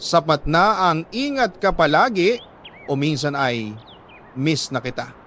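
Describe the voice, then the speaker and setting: Higher-pitched Filipino man narrating, noisy background. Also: animated, unhurried, sharp tone. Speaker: radio-style story narrator reading a listener's letter. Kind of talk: dramatic scripted first-person narration of a love story.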